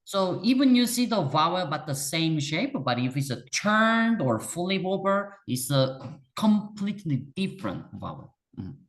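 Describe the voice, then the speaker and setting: Patient Korean-accented teacher with lively pitch. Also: unhurried, few pauses. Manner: patient, repeats words for emphasis, encouraging. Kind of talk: one-on-one language lesson.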